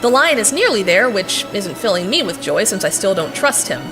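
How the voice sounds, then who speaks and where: A woman playing a plucky, impatient girl heroine in an audio drama, wry and brisk. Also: mid-range voice, very animated, music in the background, lightly acted. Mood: narrating, tense. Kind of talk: audio drama acting with narration.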